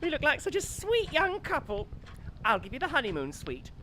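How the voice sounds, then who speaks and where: An actress playing a folksy, gossipy innkeeper in an audio drama, with quaint theatrical phrasing. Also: female voice, high-pitched, wildly swooping pitch, normal speed, fairly bright tone, slight background noise, heavily acted, rough recording. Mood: welcoming. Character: cheery, sly innkeeper barmaid, gossipy and foreboding.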